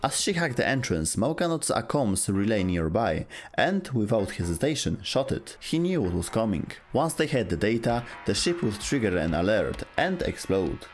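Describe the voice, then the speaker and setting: A man with a low voice delivers scripted lore narration. His storytelling is dramatic and clipped, with very lively intonation over faint background music. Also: moderate pace, few pauses.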